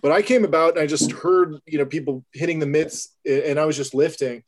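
A filmmaker and guest, a man with a slightly raised pitch, tells his story with eager, animated energy. He rushes through anecdotes, jumping between asides. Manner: enthusiastic, animated, fast storyteller. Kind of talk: casual storytelling in an interview.

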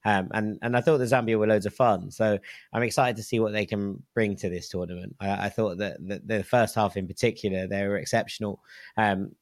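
A male podcast host with a somewhat low voice talks football with keen enthusiasm, often doubling words. The sound is dry and close, with no room echo. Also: steady tone, a little fast. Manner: enthusiastic, many likes and repeated words. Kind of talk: casual football chat.

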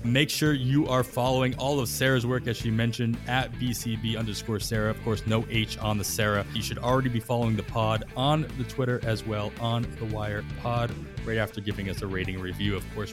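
A man's voice: mid-range voice, flat delivery, brisk, hardly any pauses, over music. A man hosting a casual sports podcast, chatting loosely and handing off to his guests. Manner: conversational, hands off to guests and wraps up the show. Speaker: podcast host. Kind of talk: casual fantasy baseball podcast chat.

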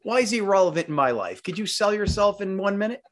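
Higher-pitched, animated male podcast host. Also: quick pace. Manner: playful, teasing. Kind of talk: casual bantering interview.

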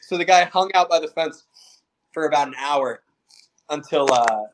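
A man's voice: a little high, moderate pace, background noise. A young man, a college baseball player, talking easily as a podcast guest and telling stories. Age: young adult. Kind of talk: casual interview chat.

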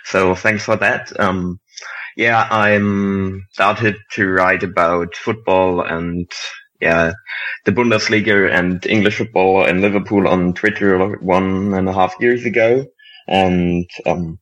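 A man from Germany with a German accent, a polite, halting podcast guest. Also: deep voice, fairly even intonation, a bit bright, poor audio. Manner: halting, polite, many ums. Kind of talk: casual podcast chat.

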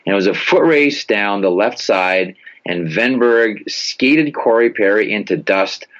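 A man's voice: on the low side, expressive, a little slow, fairly bright tone. A man giving emphatic, punchy sports commentary in a casual style, as a guest on a talk show. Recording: dull lo-fi sound.

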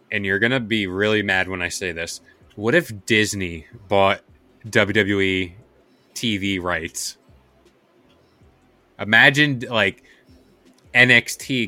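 A man hosting a casual podcast, talking in an excited, rambling way. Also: lowish voice, no room sound. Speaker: podcast host. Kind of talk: casual chat.

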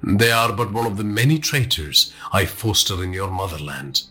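A man voicing a sadistic male villain in an audiobook, with a silky, husky, slow and taunting menace. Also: fairly low pitch, expressive, sharp tone, heavily acted. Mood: smug menace. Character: smooth-talking sadistic male villain taunting over a radio.

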